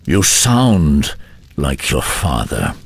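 A grave warrior with a conscience in a fantasy game. His voice is deep and heavily rasping, slow but full of movement. He sounds reproachful and serious. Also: big pitch swings, no room sound, full character voice. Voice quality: very husky, rough. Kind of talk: video game cutscene acting.

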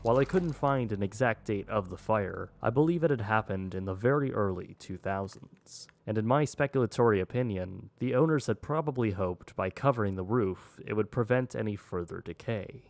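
A man reading a measured, informative voice-over narration for an exploration video. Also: on the low side, lively intonation, gravelly, dry recording.

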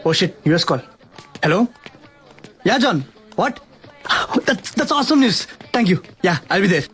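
A man playing a breezy, excitable young husband in a romantic comedy film, playful and mixing English into his speech. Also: high-pitched, very slow, faint music behind, exaggerated voice. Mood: excited. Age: young adult.

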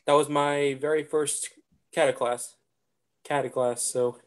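A young man from Virginia chatting casually on a video call, speaking haltingly and repeating his words. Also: fairly high pitch, little pitch movement. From Virginia, United States. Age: young adult. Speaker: actor on a panel. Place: indoor room on a video call. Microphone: video call device microphone.

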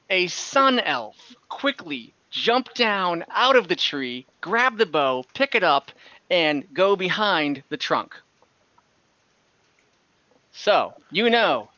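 Guy dming a tabletop game on a call, bright tense voice, kinda muffled lo-fi audio, lots of ums. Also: high voice, no room sound. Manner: relaxed, hesitant, thinking aloud with many fillers. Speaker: dungeon master. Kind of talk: running an online tabletop game. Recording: dull lo-fi video call audio.

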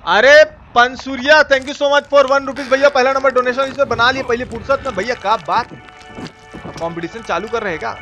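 High-pitched Bihari-accented man streaming. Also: moderate pace, sharp tone, muffled recording. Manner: slangy, cursing, exclamatory, repeats himself. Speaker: live streamer. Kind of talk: casual gameplay commentary with chat replies. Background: game music.

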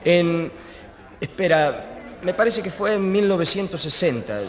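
A man's voice: high-pitched, animated, a little slow. A man acting a well-informed newspaper journalist who explains things in a conversational radio drama. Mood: informative. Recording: reverberant hall sound.

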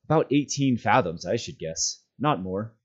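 A man's voice: on the low side, animated, moderate pace, breathy edge. A determined young hobbit adventurer, played by a man reading a storybook aloud. He speaks earnestly and with emphasis, like someone set on his course. The recording is slightly dull. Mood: thoughtful.

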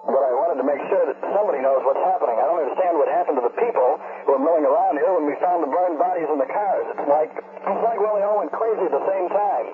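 A frightened radio reporter under siege, in a fairly high voice and at a rushed pace. He recounts what he has seen in urgent, tense detail, like a field report in a 1970s radio drama. It comes through a thin, narrow two-way radio. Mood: shaken, tense. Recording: noisy, tinny two-way radio transmission.

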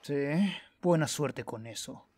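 A man's voice: a little high, lively intonation, slow pace, darkish tone, husky, no echo, soft background music, heavily acted. A man with a Latin American Spanish accent voicing a confident, defiant, quippy young superhero in an amateur video game fandub. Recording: noisy home fandub recording.

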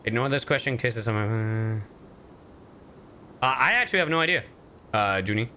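A man's voice: medium pitch, brisk, a little hiss or hum. A man chatting casually and swearing freely as he live-streams a game. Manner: animated, sweary. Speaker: streamer and voice actor. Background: video game music.

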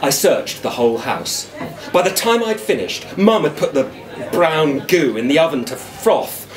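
A middle-aged man gives a theatrical live reading of a children's book. He takes his time with dramatic pauses and very lively pitch, in an echoey room. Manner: expressive, deliberate, dramatic pauses. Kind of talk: theatrical storybook narration in a schoolboy's first person. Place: wooden-beamed indoor venue at a live reading.

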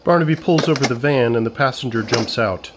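A man's voice: medium pitch, a little fast, noisy background. A man reading scripted narration as the narrator of an audio comedy.

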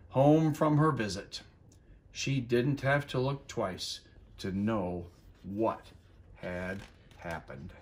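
Animated man reading a storybook aloud. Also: mid-range voice. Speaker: school superintendent reading a storybook. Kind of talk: read-aloud children's story narration. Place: indoors at home, seated area by a window.

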